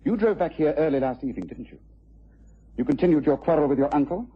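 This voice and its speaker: Old radio english detective, raspy and sure of himself, muffled vintage recording. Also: male voice, on the high side, animated. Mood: assured, accusing. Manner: precise, commanding, deliberate. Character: shrewd, methodical gentleman detective, sure of himself. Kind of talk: mid-20th century radio drama acting.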